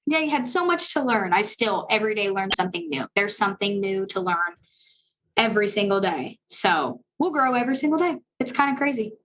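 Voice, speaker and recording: A young woman with a high-pitched voice talking in an upbeat, earnest and chatty way as a guest on a podcast. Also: lively intonation, a little fast, crowd noise, rough recording. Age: young adult. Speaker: loan officer guest. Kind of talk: casual podcast conversation.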